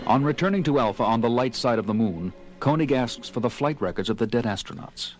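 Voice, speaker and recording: A man's voice: mid-range voice, expressive, fairly quick, soft background music, close to an everyday voice. A man narrating a space adventure record as a composed storyteller with scripted, dramatic delivery.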